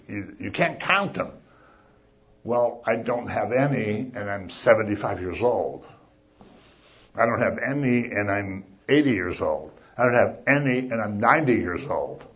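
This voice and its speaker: A man preaching a sermon as a pastor, chatty and animated, acting out little voices as he talks. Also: fairly low pitch, lo-fi sound. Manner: conversational, animated, acts out voices.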